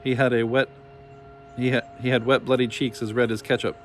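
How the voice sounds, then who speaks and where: A man with a mid-pitched voice narrates a children's storybook. He reads from the script as a storyteller, and the recording carries clear noise. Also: level tone, a little fast. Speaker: storybook reader.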